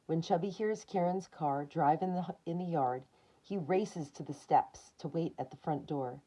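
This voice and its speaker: Dark-voiced woman reading a children's story. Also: low-pitched, moderate pace, highs cut off. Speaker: storyteller reading a picture book aloud. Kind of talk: expressive children's story reading with short asides.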